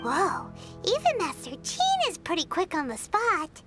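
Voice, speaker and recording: A woman voicing a small, excitable, chatty fairy companion in an anime-style video game, with a squeaky childlike voice and a perky, exclaiming manner. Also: measured pace, soft background music, exaggerated voice. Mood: curious, chatty. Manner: perky, exclaiming, speaks of self by name.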